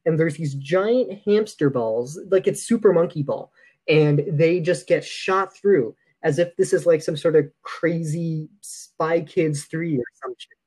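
A man's voice: fairly high pitch, measured pace, darkish tone, breathy edge, rough recording. A young man co-hosting a podcast, talking casually through films. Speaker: podcast co-host reviewing films. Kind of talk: casual film discussion.

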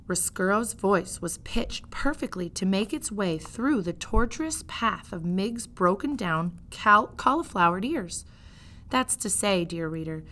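Animated woman reading a children's story. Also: mid-range voice. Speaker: fifth grade teacher reading aloud. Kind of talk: expressive children's storybook narration.

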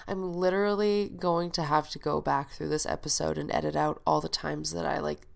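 Stuffed-up nasal young woman, gravelly. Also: deep voice, little pitch movement, fairly quick. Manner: chatty, swears freely, bubbly bestie tone. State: head cold, stuffed up and nasal. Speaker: podcast host. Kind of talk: casual podcast chat.

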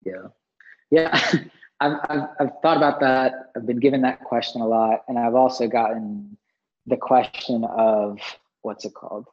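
A man, a musician guest, talking in a relaxed, rambling way and often saying "like". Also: mid-range voice, unhurried, slightly rough, dull audio. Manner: relaxed, rambling, heavy use of "like". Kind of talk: casual conversation.

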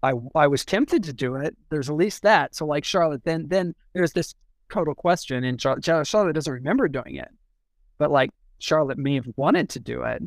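A man in a casual literary discussion, thinking aloud in a halting way and often repeating and restarting his phrases. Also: on the high side, animated, gravelly, dry recording. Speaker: podcast discussion co-host.

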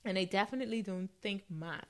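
A woman's voice: mid-range voice, a little fast. A woman hosting a radio interview in a casual, conversational way, often murmuring agreement as she listens. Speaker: radio show host interviewing a guest.

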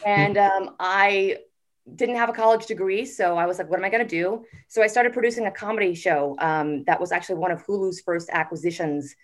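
A woman in her forties, a guest on a casual podcast interview, talking in a chatty, upbeat way with many "um" pauses. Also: mid-range voice, steady tone. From United States. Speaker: guest, TV network executive.

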